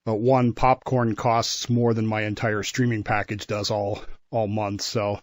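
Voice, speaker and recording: Male American radio history co-host, wry and measured, close dry sound. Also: on the low side, flat delivery, no room sound. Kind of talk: casual radio talk.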